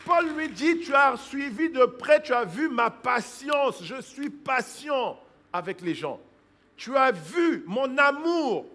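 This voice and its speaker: High bright-voiced pastor, hushed to shouting. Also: male voice. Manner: swings from hushed asides to loud exhortation; acts out congregants' excuses in quoted voices. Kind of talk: sermon.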